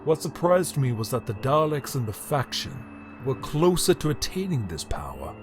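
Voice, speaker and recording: A man playing a bitter, power-hungry immortal alien emperor in a dramatic audio drama monologue, brooding and grandiose, with deliberate theatrical pauses. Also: mid-range voice, big pitch swings, music in the background, lightly acted. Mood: contemptuous. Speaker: reader of an audio story.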